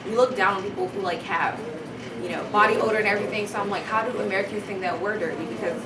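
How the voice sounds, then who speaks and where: A young woman from Haiti talks casually as an interviewee in a mid-pitched voice. The recording is echoey and very noisy, as if the mic is some way off. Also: quick pace, recorded from a distance.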